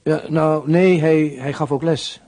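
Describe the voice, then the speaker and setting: A hesitant police searcher. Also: male voice, fairly high pitch, fairly even intonation, measured pace, darkish tone. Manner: hesitant reporting.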